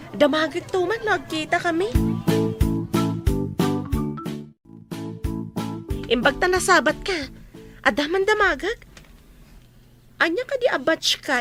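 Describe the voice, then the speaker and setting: An actress playing a chatty, coaxing friend in an audio drama. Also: female voice, sits high. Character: chatty, coaxing woman friend spreading reunion news. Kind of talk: Ilocano audio drama acting.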